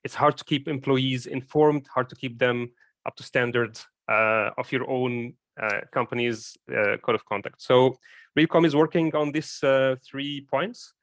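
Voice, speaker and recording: A man's voice: mid-range voice, a little slow, fairly bright tone, no echo. A man from Egypt, a startup founder, introducing himself in a live online Q&A and talking hesitantly, with many fillers and restarts.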